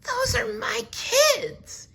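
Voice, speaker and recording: Very shrill, scratchy woman schoolteacher. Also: very slow, gravelly, sharp tone, exaggerated voice. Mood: shocked. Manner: squealing, incredulous.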